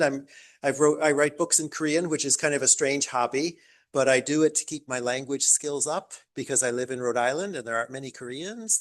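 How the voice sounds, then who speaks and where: An older American man giving a chatty academic lecture to a live audience, with joking, self-deprecating asides. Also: a little high. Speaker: guest lecturer. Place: lecture room.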